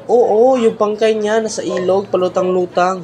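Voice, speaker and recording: An excitable neighbourhood gossip, played by a man, chats in a homemade radio drama. His voice is fairly high for a man. There is light outdoor noise around him. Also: normal speed, close to an everyday voice. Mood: excited. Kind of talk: amateur radio drama acting.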